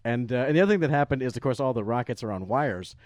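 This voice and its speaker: A man telling a casual anecdote about his work as a puppeteer, animated and acting out the voices of people he quotes. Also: medium pitch, quick pace, dry recording, studio quality. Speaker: guest recounting work as a puppeteer.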